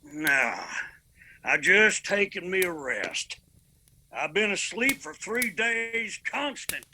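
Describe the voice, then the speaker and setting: A man's voice: high-pitched, sing-song, slow, sharp tone, slight background noise, exaggerated voice. An actor playing a lazy, jovial old mountain man in a radio drama, with a boisterous Appalachian drawl.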